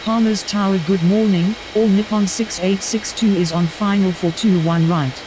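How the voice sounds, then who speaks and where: A woman's voice: medium pitch, fairly even intonation, moderate pace, close to an everyday voice. A woman playing a matter-of-fact aviation radio voice in a simulation game, delivering clipped, formulaic calls. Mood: businesslike. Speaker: air traffic control and pilot radio voice. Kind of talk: scripted aviation radio phraseology. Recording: hissy, clearly noisy radio-style audio.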